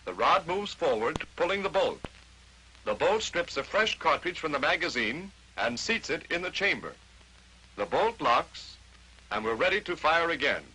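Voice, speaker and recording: A man's voice: on the high side, big pitch swings, moderate pace, sharp tone, slight background noise, rough recording. An American man narrating a 1940s instructional training film, explaining step by step in short declarative statements on a vintage film soundtrack.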